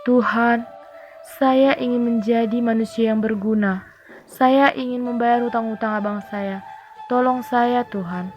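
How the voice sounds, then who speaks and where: A woman's voice: on the high side, fairly even intonation, measured pace, darkish tone, pitched above the performer's own voice, natural voice. A woman voicing a devoted, worried village girl in a folktale, earnest and pleading. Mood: praying, pleading. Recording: dull lo-fi audio under music.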